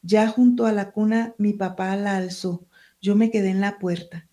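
Woman writer, mellow tone, hesitant, reads her own prose aloud. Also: mid-range voice, fairly even intonation, moderate pace. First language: Spanish. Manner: hesitant, searching for words. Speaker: writer and teacher. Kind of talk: interview answers and reading from her book.

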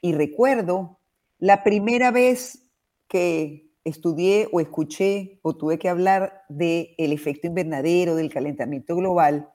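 A woman's voice: lowish voice, a little mellow. A Venezuelan woman in her sixties, a biologist, explaining things in a thoughtful, searching way as a radio interview guest. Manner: thoughtful, explanatory, with many restarts and "o sea" asides.

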